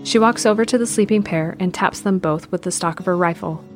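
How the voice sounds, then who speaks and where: A woman's voice: fairly low pitch, fairly quick. A woman reading scripted narration for an audio drama. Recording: music behind the voice.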